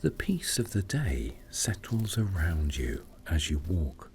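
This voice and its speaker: Deep, very slow soothing male meditation narrator. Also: big pitch swings, darkish tone. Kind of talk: sleep meditation story narration, scripted.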